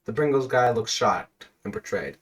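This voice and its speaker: A man's voice: medium pitch, moderate pace, fairly bright tone. A man telling a comedic story in the second person with dramatic narration.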